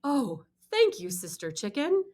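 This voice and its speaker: A woman's voice: a little high, wildly swooping pitch, unhurried, mellow, full character voice. A woman voicing a hungry but gullible mother crocodile in a children's storybook, with emphatic, dramatic delivery. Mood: gushing, sweet. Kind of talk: acted children's storybook read-aloud.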